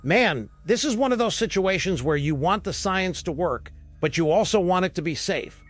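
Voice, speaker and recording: A man's voice: high voice, animated, moderate pace, fairly bright tone. A man playing a concerned, conversational interview host in a scripted audio drama. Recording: music bed under the voice.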